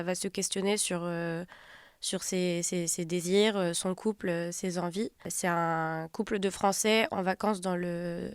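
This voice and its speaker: A woman director from Turkey talks through her film in a measured, reflective way. Her voice is smooth and resonant, and the sound is dry and close. She often pauses and circles back to find the right word. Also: fairly low pitch, dry recording. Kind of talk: interview about her film.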